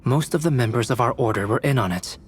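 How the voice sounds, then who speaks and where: An actor playing a composed young alchemist knight in a video game, speaking in a measured, formal way. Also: male voice, medium pitch, slight background noise, natural voice. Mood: calm explaining.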